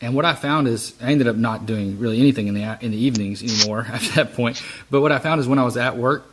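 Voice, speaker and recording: Man, thirties to forties, medium pitch, quick pace, casual podcast host, conversational interview style. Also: background noise.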